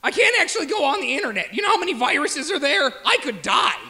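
A man's voice: very high voice, sing-song, fast, pushed and bright, exaggerated voice. A man playing an eager, clueless young amateur actor who rambles dimly in a comedy sketch. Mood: baffled. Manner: rambling, dim-witted, hesitant. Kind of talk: audio comedy sketch acting.

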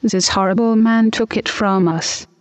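A woman's voice: mid-range voice, steady tone, unhurried. A synthetic computer voice playing a prim, easily offended French noblewoman with a formal, stilted delivery. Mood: aggrieved. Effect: computer text-to-speech voice.

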